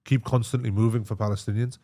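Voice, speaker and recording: Low-pitched man chatting casually. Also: steady tone, fast talker, warm tone. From Australia. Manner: informal, matey, repeats himself. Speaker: interview guest, emergency physician. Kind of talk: casual conversation.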